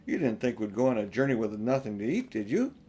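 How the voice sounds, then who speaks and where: A man voicing an unflappable, motherly female beaver in a children's storybook, homely and gently scolding. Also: fairly low pitch, sing-song, fast talker, slightly rough. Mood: brisk, cool.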